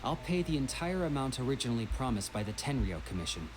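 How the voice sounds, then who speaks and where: A man's voice: medium pitch, very animated, quick pace, faint music behind, natural voice. An actor playing a polite, earnest, long-suffering young man in a video game, courteous yet exasperated. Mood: earnest, pleading.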